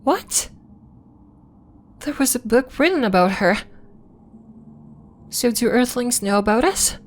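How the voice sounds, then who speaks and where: A gentle, earnest healer from the moon , acted by a woman, speaks at a very unhurried pace. Her voice sits at a medium pitch, and her phrasing is formal, measured and reassuring, in the manner of an ASMR roleplay. A faint bed of ambient noise runs beneath her. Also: steady tone. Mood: startled. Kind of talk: slow, soft ASMR roleplay acting.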